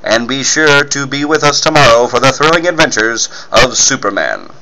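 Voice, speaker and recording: Male narrator, bright forceful tone, dramatic phrasing, vintage radio serial announcer style. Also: mid-range voice, very animated, moderate pace, rough recording.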